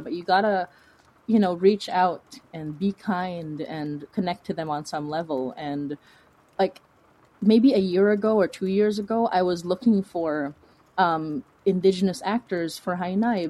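A woman's voice: lowish voice, dark tone. A woman chatting casually as an interview guest, rambling and informal, with a self-deprecating way of talking. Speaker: fiction podcast creator, interview guest.

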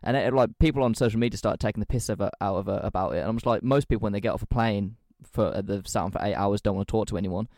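An English man in his twenties co-hosting a podcast, chatting casually with quick, animated asides. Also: fairly low pitch, no echo, studio quality. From England. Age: 20s. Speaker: podcast co-host. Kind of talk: casual chat.

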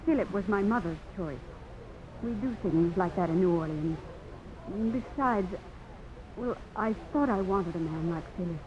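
A woman's voice: lowish voice, unhurried, lightly acted. An actress in a radio drama playing a refined, wistful Southern society lady with a genteel, earnest way of talking. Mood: regretful. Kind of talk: 1950s radio drama acting. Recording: muffled old radio broadcast, crowd murmur.

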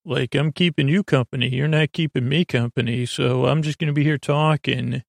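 Guy with a creaky gravelly voice rambling soothingly for a sleep podcast, stumbles and restarts, really dry close sound. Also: medium pitch, steady tone, no echo. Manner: rambling, halting, self-interrupting; lulling, soothing. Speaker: podcast host. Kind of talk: meandering bedtime podcast monologue.

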